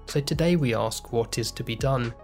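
A man hosting a podcast, reading a scripted introduction in a measured, earnest way. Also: medium pitch, faint music behind. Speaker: podcast host.

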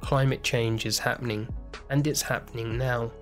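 A man's voice: mid-range voice, steady tone, normal speed, faint music behind. Man reading a podcast intro. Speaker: podcast host.

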